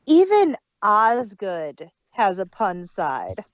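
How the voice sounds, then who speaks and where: Unhurried woman on a dull, dry recording. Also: mid-range voice, huge pitch swings, dry recording. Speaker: podcast co-host reviewing audio dramas. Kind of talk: casual review chat.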